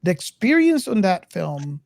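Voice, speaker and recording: A woman hosting a casual film discussion, speaking haltingly and repeating words as she goes. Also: on the low side, very animated, creaky, a little mellow, dry recording. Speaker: podcast host.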